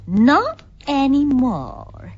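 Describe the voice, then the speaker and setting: A muffled lo-fi radio drama recording with music carries a breezy, self-absorbed artistic wife. Her voice sits high and swoops theatrically. She talks down to her husband with airy condescension. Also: wildly swooping pitch, extremely slow, darkish tone, heavily acted. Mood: condescending. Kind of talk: old-time radio drama acting.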